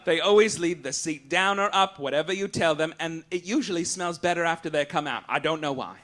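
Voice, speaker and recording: A man's voice: a little high, brisk, fairly bright tone. A man performing satirical stand-up on stage as a show host, with a campy, arch, theatrical delivery, reading mock-official announcements. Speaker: comedian hosting a live show. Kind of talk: satirical stand-up monologue read as mock-official rulings to a live audience. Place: on stage at a live cabaret venue.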